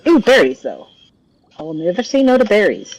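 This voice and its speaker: Animated, sweary woman gaming casually. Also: medium pitch, a little fast, no room sound, poor audio. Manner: offhand, sweary. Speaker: video game streamer. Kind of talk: casual gameplay commentary, muttering asides.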